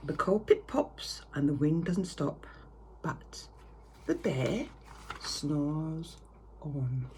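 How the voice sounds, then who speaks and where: A woman reading a picture story aloud to children with theatrical, drawn-out emphasis. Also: deep voice, expressive. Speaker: primary school reader. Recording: clear background noise.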